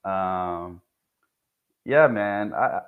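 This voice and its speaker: Soft-spoken young man, low voice. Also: very slow, clean tone. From Haiti. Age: young adult. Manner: soft-spoken, hesitant, restarts often. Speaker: guest, HVAC technician. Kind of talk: casual interview talk. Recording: dull lo-fi sound.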